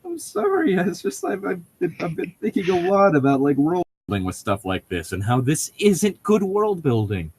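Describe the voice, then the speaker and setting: A man's voice: high-pitched, huge pitch swings, moderate pace, few pauses, darkish tone, breathy edge, no room sound. A man co-hosting a casual podcast, chatting in a rambling, digressive way with some swearing as he comments on a book. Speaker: podcast co-host. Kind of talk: casual chat and commentary while reading a novel aloud.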